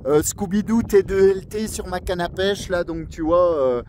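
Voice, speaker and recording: A man with a high voice chats on the radio from a mountain at night, relaxed and friendly as he walks through his equipment. A faint hiss sits under his voice. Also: moderate pace. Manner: relaxed, friendly, explains gear. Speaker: radio amateur and YouTuber. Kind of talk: casual radio chat.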